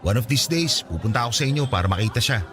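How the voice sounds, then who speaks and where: A man with a Filipino accent playing a cocky but caring young best friend in a radio drama, bantering and expressive. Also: medium pitch, very fast, natural voice.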